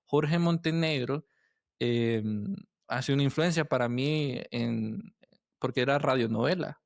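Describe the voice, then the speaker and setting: Man hosting a casual podcast, halting delivery. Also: medium pitch, creaky, no room sound, studio quality. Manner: halting, searching for words, tag questions. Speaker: podcast host and interviewer.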